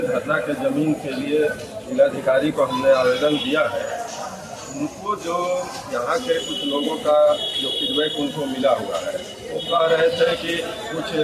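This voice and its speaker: A man with a Bihari accent giving an insistent, argumentative account to a news reporter, repeating his phrases. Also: medium pitch. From Gaya area, Bihar, India. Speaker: local protest representative. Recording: very noisy, distant field recording.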